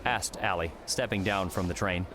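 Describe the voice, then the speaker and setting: Low-voiced man narrating a novel. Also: normal speed, background noise. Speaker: audiobook narrator. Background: soft music.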